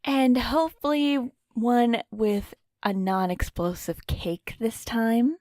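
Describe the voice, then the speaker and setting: A woman voicing a cool fashionable young woman, unhurried and deadpan. Her medium-pitched voice is dry and close, and she sounds unimpressed. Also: dry recording.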